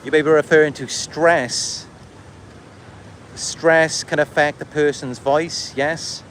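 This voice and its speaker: A man from Wales with a Welsh accent, talking casually and a little haltingly in a rambling livestream monologue. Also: fairly high pitch, fairly even intonation, fairly bright tone, slight background noise. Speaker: livestream host and content creator. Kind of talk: casual livestream monologue, answering viewer comments.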